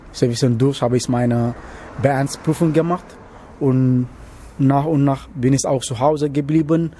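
Mellow young man, Senegalese accent. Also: on the high side. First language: not German, learned it as an adult. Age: young adult. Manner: halting non-native phrasing. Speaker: interviewee, trainee cook. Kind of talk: casual interview answers. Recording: noisy location interview with background ambience.

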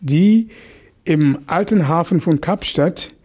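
A man's voice: a little high, slow pace, darkish tone, breathy edge. A man from Mozambique whose first language is a local Mozambican language, speaking German in a casual, hesitant commentary with many fillers and restarts. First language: a language of northern Mozambique.

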